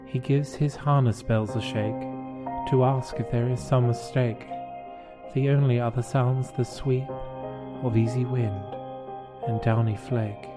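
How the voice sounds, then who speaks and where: Male poetry reader, low voice, very unhurried and deliberate, music clearly underneath. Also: fairly even intonation. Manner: measured, deliberate phrasing. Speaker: podcast host and poetry reader. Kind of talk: slow poetry reading.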